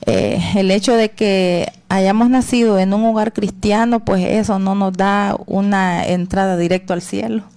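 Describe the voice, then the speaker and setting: Una mujer con acento salvadoreño da su testimonio personal de fe con calma, pausando con muletillas como "verdad" y "pues". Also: female voice, mid-range voice, clean tone. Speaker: guest giving testimony.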